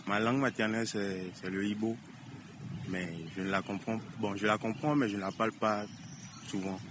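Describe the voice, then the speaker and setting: A man answering a street interview, hesitant and halting in his replies. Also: lowish voice, fairly even intonation. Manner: hesitant, with restarts. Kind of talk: vox pop answer. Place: street outdoors. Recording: noisy outdoor street recording.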